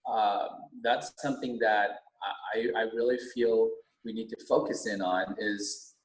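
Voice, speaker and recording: Middle-aged male teacher, Vietnamese first language, earnest and halting, recorded in a reverberant room. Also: lowish voice, fairly even intonation. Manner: halting, many restarts. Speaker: teacher and school board candidate. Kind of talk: earnest interview talk. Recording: roomy echo.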